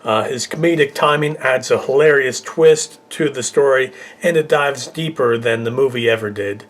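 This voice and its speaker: A man's voice: medium pitch, normal speed. Male video host, mid-range voice, casual scripted countdown presenting.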